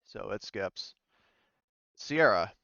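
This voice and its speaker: A man's voice: fairly low pitch, expressive, slow, creaky, sharp tone, dry recording, lo-fi sound. A man acting as game master of a tabletop roleplaying game, casually thinking aloud as he talks through rules and turns.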